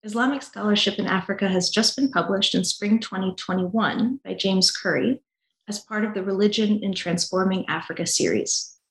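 A woman hosting an academic book podcast, reading her introduction in a polite, measured way. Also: medium pitch. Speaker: podcast host. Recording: roomy echo.

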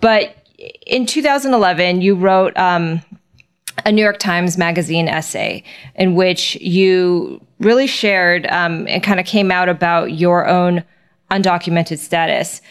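A woman interviewing a guest, asking thoughtful, measured questions with occasional fillers. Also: lowish voice, smooth.

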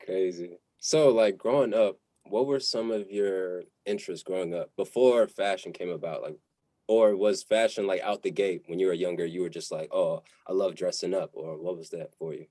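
A man's voice: low voice, moderate pace, mellow, no room sound. A man hosting a podcast interview, laid-back and slangy. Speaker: podcast host. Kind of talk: casual interview chat.

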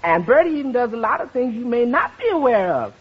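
High-voiced, folksy housekeeper in radio comedy. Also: female voice, sing-song, quick pace, breathy edge. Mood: pointed, sly. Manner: folksy, emphatic, refers to herself by name. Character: warm, folksy, shrewd woman housekeeper and cook. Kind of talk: 1950s radio comedy acting. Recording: dull old radio recording.

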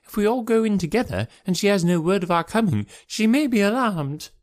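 A timid, fretful gentleman from a classic storybook. The voice is very high and swoops about, plaintive and fussy, with formal politeness in every phrase. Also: animated, brisk. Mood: nervous, hushed. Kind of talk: acted audiobook character dialogue.

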